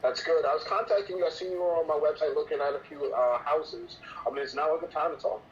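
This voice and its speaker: A man's voice: a little high, fast talker. Male real estate trainee, polite, hesitant; dull lo-fi recording. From New York. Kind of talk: role-play sales call. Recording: dull muffled sound with faint noise.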